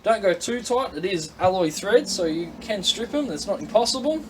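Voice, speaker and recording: A man's voice: sits high, expressive, normal speed. An Australian man giving an informal, plain-spoken, step-by-step product demonstration in a casual instructional style. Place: indoor workshop at a tool bench. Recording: noisy workshop recording with clicks.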